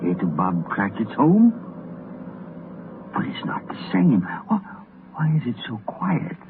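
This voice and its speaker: Fearful old miser, tinny vintage radio. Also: male voice, mid-range voice, huge pitch swings, slow, background noise. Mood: fearful awe. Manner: theatrical, pleading. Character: old miser Scrooge, fearful, shaken and remorseful. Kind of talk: 1930s radio drama acting. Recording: tinny 1930s radio broadcast with music.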